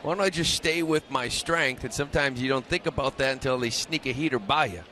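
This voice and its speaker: A man's voice: medium pitch, brisk, pushed and bright, a little hiss or hum. A man working as a color commentator, giving casual, self-deprecating baseball analysis on the radio. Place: ballpark broadcast booth.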